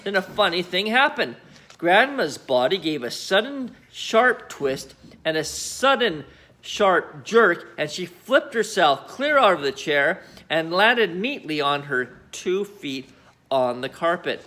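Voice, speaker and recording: A man reading a children's story aloud as storyteller, with dramatic, emphatic phrasing and deliberate pauses. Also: high-pitched, very animated, bright, forceful tone. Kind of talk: animated children's story narration.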